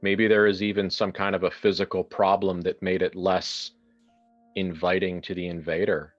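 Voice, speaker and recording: A man's voice: low-pitched, flat delivery, normal speed. A man plays a horror tabletop game, improvising descriptions and chatting casually. His voice track is slightly dull and lo-fi, with faint music underneath.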